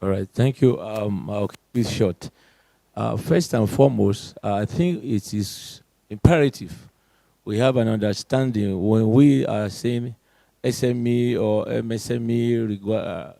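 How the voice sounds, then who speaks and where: Gravelly, mellow man answering on a panel. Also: fairly low pitch, a little slow, no echo. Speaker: expert panelist.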